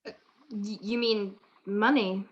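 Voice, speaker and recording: A woman's voice: medium pitch, fairly even intonation, takes it slowly, lightly acted. A woman playing a detective's genteel wife in a radio crime drama, on a muffled recording with music. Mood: anxious. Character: detective's glamorous, teasing, anxious wife.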